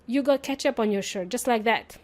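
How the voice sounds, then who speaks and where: A woman's voice: fairly high pitch, brisk. A woman whose native language is Serbian, hosting an English-teaching podcast in an upbeat, playful and chatty way, often asking her listeners questions. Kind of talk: solo teaching podcast monologue.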